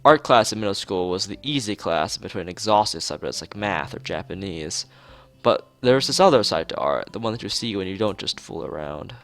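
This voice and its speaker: Low, gravelly man reading a game aloud. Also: expressive, moderate pace, hardly any pauses, fairly bright tone. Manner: stumbles over the text and rereads words. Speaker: let's player reading a visual novel aloud. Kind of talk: informal read-aloud narration with off-the-cuff asides.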